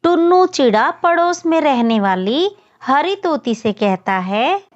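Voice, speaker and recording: A woman's voice: high-pitched, sing-song, slow pace, muffled recording. A woman narrating a scripted children's story as the storyteller.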